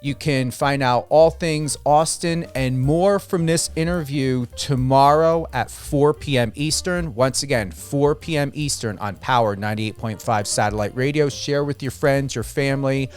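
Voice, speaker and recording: A man with a clean, resonant voice of average pitch hosts a radio interview. He speaks off the cuff, rephrasing and searching for wording as he goes. Faint music accompanies his voice. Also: measured pace, few pauses, smooth. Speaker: radio show host. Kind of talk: unscripted radio interview and sign-off.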